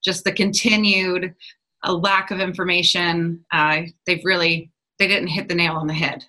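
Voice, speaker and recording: Woman guest, measured, thoughtful, lowish pitch, bright edge. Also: little pitch movement. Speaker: podcast guest, marketing director. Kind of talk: casual podcast discussion.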